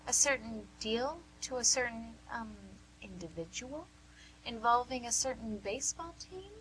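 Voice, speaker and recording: A woman's voice: fairly high pitch, lively intonation, fairly bright tone, rough recording. A woman acting as a wry, knowing nurse who teases and probes in an amateur radio play, recorded with some hum. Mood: knowing, teasing. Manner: teasing, probing, deliberate.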